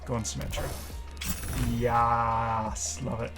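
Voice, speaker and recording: A man's voice: mid-range voice, level tone, slow pace. Chatty, enthusiastic man over music. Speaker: gaming channel host and commentator. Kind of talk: casual unscripted reaction commentary on game cosmetics.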